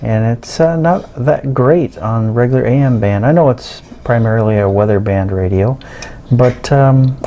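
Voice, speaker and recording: A man with a mid-pitched, gravelly voice talks through a product in a casual, unscripted way. He is halting and hesitant, thinking aloud as a hobbyist reviewer, with music behind him. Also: warm tone.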